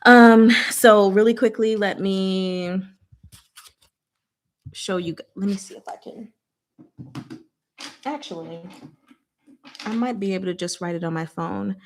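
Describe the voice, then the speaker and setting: A woman's voice: medium pitch, a little slow, pauses often. A woman hosting a casual book discussion, explaining things informally with playful, rambling asides.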